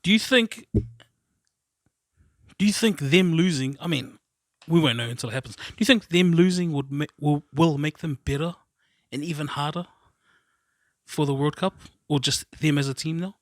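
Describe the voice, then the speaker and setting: A man's voice: a little high, moderate pace, dry recording, clean studio sound. A man co-hosting a podcast in casual, slangy, cheeky sports banter. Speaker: podcast co-host.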